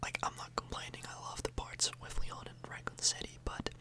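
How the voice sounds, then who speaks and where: A woman speaking in a breathy, unvoiced whisper close to the microphone, rambling informally and a little hesitantly in an ASMR style. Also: a little fast, pushed and bright. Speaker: ASMR video host.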